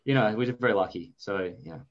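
A man's voice: lowish voice, fast, dull audio, slight background noise. A man in his thirties with an Australian accent, talking casually and anecdotally as an interview guest. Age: 30s. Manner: chatty, informal, anecdotal. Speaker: interview guest, investor and author.

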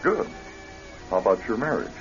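An actor in a radio drama playing a male psychiatrist, questioning a patient in a measured, professional manner. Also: fairly low pitch, very animated. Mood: calmly probing. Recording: dull old radio recording with music.

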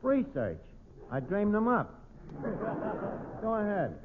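A slangy New tavern schemer in a 1940s radio comedy. His high voice rises and falls freely as he hems and wisecracks in cocky, broken grammar. The sound is a muffled old broadcast. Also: wildly swooping pitch, slow pace, background noise, exaggerated voice. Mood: bossy, cocky. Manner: hesitant hemming, mangled grammar, wisecracking.

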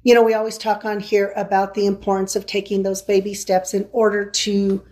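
A woman's voice: medium pitch, monotone, fairly quick, a little mellow. A woman hosting an interview, chatty and encouraging, often affirming her guest. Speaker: show host. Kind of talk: interview chat.

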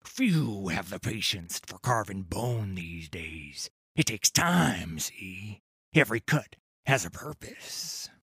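A man voicing a cruel pirate captain in a story reading, speaking with quiet, controlled menace. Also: on the low side, sing-song, takes it slowly, husky, no room sound, exaggerated voice. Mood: cold. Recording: dry studio recording.

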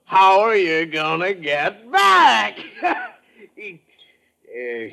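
Boisterous, folksy American tourist, swooping voice. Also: male voice, high voice, wildly swooping pitch, drawn-out words, gravelly, bright, forceful tone, exaggerated voice. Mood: tipsy joking. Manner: folksy, effusive, repeats himself. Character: boisterous, back-slapping American tourist, eager and naive. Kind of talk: old-time radio drama acting.

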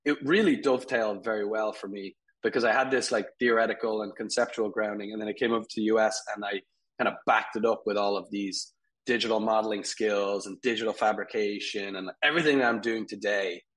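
A man in his thirties, from Ireland, talking casually as an interview guest, rambling with fillers and soft laughs. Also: on the low side, fairly even intonation, a little fast, poor audio. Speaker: guest, furniture company CEO.

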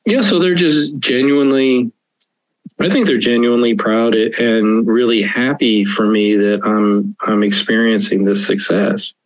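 A man's voice: mid-range voice, fairly even intonation, measured pace, no room sound, rough recording. A man in his fifties, an author being interviewed, talking earnestly and chuckling often. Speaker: author guest. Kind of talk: conversational interview.

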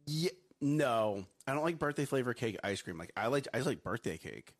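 A man co-hosting a casual live morning show, excitable and jokey, laughing often. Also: medium pitch, fairly quick. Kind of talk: casual live-stream chat.

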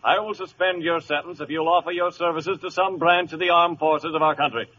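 An actor playing a stern American courtroom judge in a 1940s radio comedy, speaking in formal, commanding pronouncements. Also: male voice, on the high side, steady tone, a little fast, bright, forceful tone, lo-fi sound. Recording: very noisy, thin 1940s radio recording.

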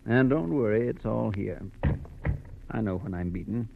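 An actor playing a suave, haughty older crime boss in a classic radio drama, formal, condescending and measured. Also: male voice, fairly low pitch, lively intonation, vocal fry, slight background noise. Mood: icy condescension. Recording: muffled old radio recording.

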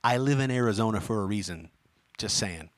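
A man from Texas hosting a podcast, talking in emphatic, animated bursts. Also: on the low side, heavy background noise. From Texas, USA. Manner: fast, animated, emphatic rants. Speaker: podcast host. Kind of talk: casual chat.

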